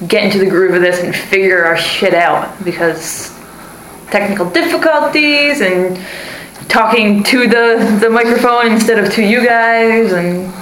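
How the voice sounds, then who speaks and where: A woman's voice: mid-range voice, unhurried, fairly bright tone. A young woman co-hosting a casual podcast, blunt and teasing in an easy way. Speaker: podcast co-host. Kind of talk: casual chat. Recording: echoey room, faint noise.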